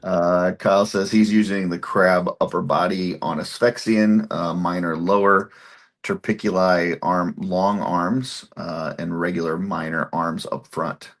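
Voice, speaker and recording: A man hosting a live painting stream, reading viewer comments aloud in a relaxed, casual way. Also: low voice, unhurried.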